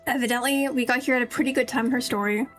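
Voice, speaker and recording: A woman's voice: fairly high pitch, steady tone, very fast, faint music behind. A woman streamer giving casual running commentary on a game. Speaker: live streamer, VTuber.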